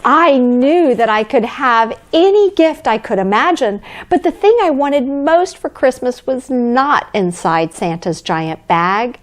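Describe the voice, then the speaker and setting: Woman playing Santa's kindly wife, storybook reading for children, gentle, expressive, very unhurried pace, lightly raised pitch. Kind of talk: children's storybook reading, addressing young listeners.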